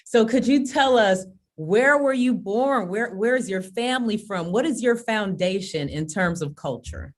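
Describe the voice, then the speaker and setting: A woman's voice: mid-range voice, animated, unhurried. A woman hosting an interview, speaking to her guest and to an audience she calls students in a friendly way. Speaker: host. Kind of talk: interview host chat.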